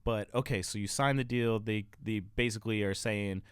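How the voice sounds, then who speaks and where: A man hosting a podcast, chatting casually and reminiscing with excitement, quick to jump in. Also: fairly low pitch, clean tone, no room sound, clean studio sound. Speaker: podcast host. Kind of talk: casual interview chat.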